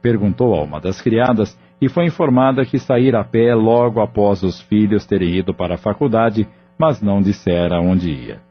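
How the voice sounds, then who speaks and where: A man narrating an audio drama in a steady, measured way. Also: lowish voice, husky. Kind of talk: scripted narration. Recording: dull lo-fi audio with faint music.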